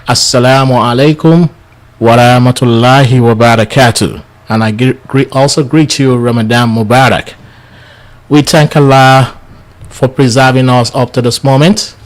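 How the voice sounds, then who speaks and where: A man whose first language is Yoruba gives a bilingual Islamic lecture in English. He sounds tired and talks earnestly, with halting restarts. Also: medium pitch, steady tone. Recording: studio lecture for radio/TV.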